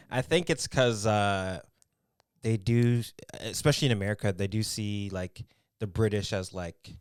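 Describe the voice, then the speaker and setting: Laid-back low-voiced man. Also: measured pace, clean tone, no room sound, clean studio sound. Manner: laid-back, laughs often, short replies. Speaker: podcast guest. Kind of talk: casual chat.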